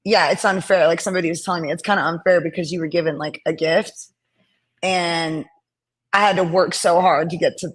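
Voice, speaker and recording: Raspy-voiced woman musician on a call. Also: lowish voice, fairly even intonation, fairly quick. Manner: earnest, rambling with many fillers. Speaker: musician guest. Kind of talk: casual interview chat. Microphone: laptop on a video call.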